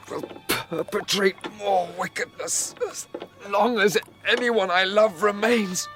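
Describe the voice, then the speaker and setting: A man's voice: sits high, fairly bright tone. An actor playing a guilt-ridden young scientist telling his tale in a grave, theatrical audiobook drama.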